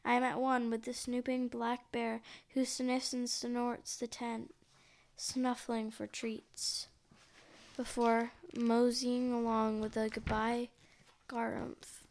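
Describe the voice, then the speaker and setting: A woman with a fairly high voice reads a picture book aloud as host. She takes it very slowly and haltingly, going back over words as she goes. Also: level tone. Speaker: host of a read-along.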